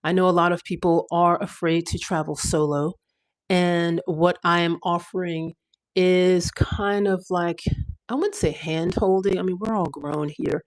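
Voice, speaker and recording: A woman in her fifties hosts a casual livestream, speaking in a slightly low voice. Her talk is chatty and rambling, full of fillers and restarts. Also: fairly even intonation, unhurried. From United States. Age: 50s. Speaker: livestream host.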